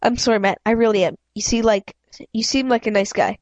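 Woman reading as soft, gentle policewoman. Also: fairly high pitch, steady tone, brisk, dry recording, lightly acted. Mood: regretful. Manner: gentle, soothing, explanatory. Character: soft-spoken, calmly firm female reality police officer.

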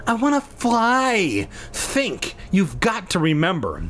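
Man putting on a whiny, high-pitched young girl. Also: big pitch swings, measured pace, a bit bright, a little hiss or hum. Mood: protesting. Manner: begging, demanding, impatient. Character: pleading, whiny, bossy young girl friend.

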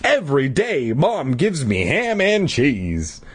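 A man's voice: medium pitch, huge pitch swings, measured pace, breathy edge, heavily acted. A man voicing a glum, dismissive schoolboy friend who groans his offhand complaints in a children's story. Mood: grumbling.

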